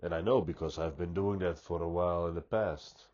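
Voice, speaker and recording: A man with a stuffy nose giving an unscripted, reflective monologue, speaking deliberately and often restarting his sentences. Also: sits low, steady tone, a little mellow, dull audio. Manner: slow, deliberate, thoughtful, with frequent restarts. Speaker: pipe-smoking vlogger.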